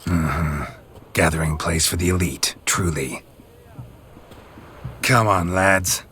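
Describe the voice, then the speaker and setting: An actor playing a terse, gruff male monster hunter who speaks in dry, clipped lines, music underneath. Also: low voice, takes it slowly, pushed and bright, slight background noise, full character voice. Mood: curt. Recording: music behind.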